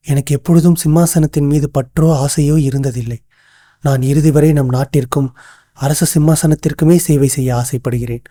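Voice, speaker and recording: A man acting a loyal, cautious royal minister in an animated folktale, with formal, measured court speech. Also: mid-range voice, no room sound, studio quality, close to an everyday voice. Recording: music under the voice.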